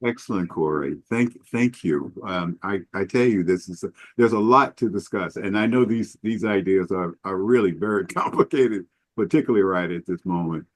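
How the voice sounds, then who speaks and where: A man moderating an interfaith panel, introducing the next speaker in a halting way. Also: sits low. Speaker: host and moderator.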